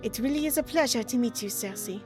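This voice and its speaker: A woman voicing a poised, detached female witch with a shaky put-on French accent that drifts toward British, speaking formally and calmly, with soft music behind. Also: on the high side, a little fast, lightly acted. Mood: cool. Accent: put-on French, slipping into British. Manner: calm, formal, coolly polite.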